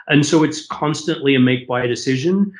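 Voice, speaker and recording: A man answering interview questions as a corporate executive, conversational and explanatory, with measured business phrasing. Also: mid-range voice. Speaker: corporate shared services executive, interview guest.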